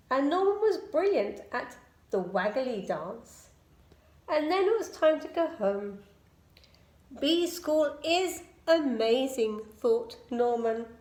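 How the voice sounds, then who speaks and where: A woman reading a children's picture book aloud in an expressive storytelling style. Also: high-pitched. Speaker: storybook reader. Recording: echoey room.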